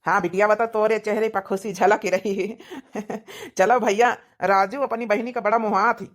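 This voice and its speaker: A woman's voice: mid-range voice, steady tone, normal speed, close to an everyday voice. A woman voicing a chatty middle-aged village matchmaker uncle in a cartoon comedy, rambling persuasively with an Awadhi accent. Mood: cheerful.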